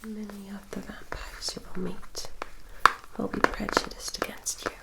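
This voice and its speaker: A woman acts as a composed female vampire attendant in an ASMR roleplay. She speaks in a breathy whisper at a very unhurried pace. Her manner is formal and caring, like an older sister gently cautioning a newcomer. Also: mid-range voice, flat delivery, rough recording. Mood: hushed, cautioning. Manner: slow, measured, formal, gently instructive.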